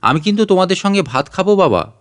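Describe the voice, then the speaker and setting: A man's voice: sits high, sing-song, fast, fairly bright tone, no echo, studio quality, pitched above the performer's own voice. A man voicing a sick little girl in an audiobook story, pleading in a childlike manner. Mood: insistent. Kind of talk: audiobook character acting.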